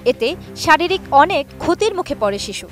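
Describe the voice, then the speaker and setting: A woman reporter with a Bangladeshi accent reads a scripted news report. Her voice is high and moves through an animated range of pitch, with faint music underneath. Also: normal speed.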